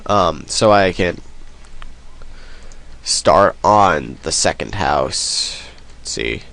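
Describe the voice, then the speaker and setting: A man's voice: low-pitched, lively intonation, extremely slow, creaky, fairly bright tone. A man with a cold, sniffling as he rambles through casual let's play commentary. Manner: rambling, self-deprecating, many ums.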